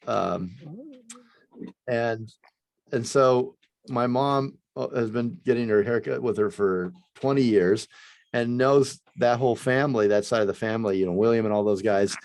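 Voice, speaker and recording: Excitable male talk show host. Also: medium pitch, a little slow, no room sound. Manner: enthusiastic, rambling, many restarts. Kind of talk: casual chat.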